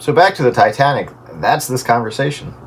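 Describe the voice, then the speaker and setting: A man's voice: medium pitch, brisk. A man chatting casually in a conversation. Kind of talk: casual chat. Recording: radio audio with very loud noise.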